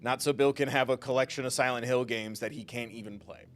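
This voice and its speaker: A man streaming a game live, talking in a jokey, playful, rambling way with a mid-pitched voice. Faint game music plays under his commentary. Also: steady tone, quick pace, fairly bright tone.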